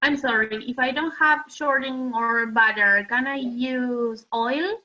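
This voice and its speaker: A woman with a very high, bright voice helps out in a children's lesson. Her delivery is conversational and a bit halting. The audio is slightly muffled and lo-fi. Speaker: co-teacher assisting in a children's lesson. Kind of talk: conversational question and answer.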